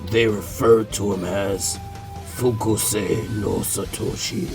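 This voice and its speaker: A man's voice: fairly low pitch, fairly even intonation, slow pace, vocal fry, over music, voice pushed down. A man voicing a defeated adult male rogue ninja in a storybook reading, speaking heavily and wearily.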